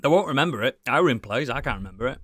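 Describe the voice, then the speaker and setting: A man's voice: mid-range voice, lively intonation, very fast, fairly bright tone, no echo, clean studio sound. A middle-aged man hosting a podcast, bantering with jokey, sweary introductions. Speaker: podcast host. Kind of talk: casual banter.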